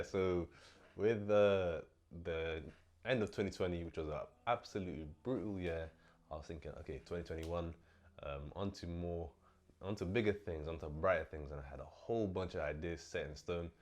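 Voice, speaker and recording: A young man hosting his own vlog, talking casually and a bit low on energy as he recovers from illness, with lots of fillers. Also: normal speed. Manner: relaxed, chatty, many fillers. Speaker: host. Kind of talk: casual vlog talk to camera.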